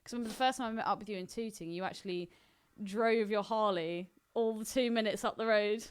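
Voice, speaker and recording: A woman's voice: mid-range voice, fast talker, smooth. A woman co-hosting a podcast with casual, teasing banter. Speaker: podcast co-host.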